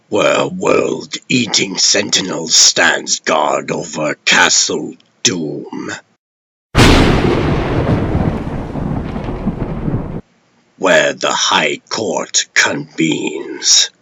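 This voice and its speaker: Grave guardian, comic dub, extremely deep raspy voice, very slow formal delivery. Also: male voice, pushed and bright, a little hiss or hum, exaggerated voice. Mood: grand, solemn. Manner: solemn, formal, measured. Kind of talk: comic dub character acting.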